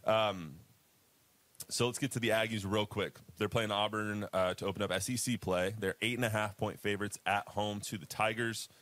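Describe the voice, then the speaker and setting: Deep-voiced young man chatting sports. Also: normal speed, no echo. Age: 20s. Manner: animated, laughs often, rambling restarts. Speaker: podcast co-host. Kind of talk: casual sports chat.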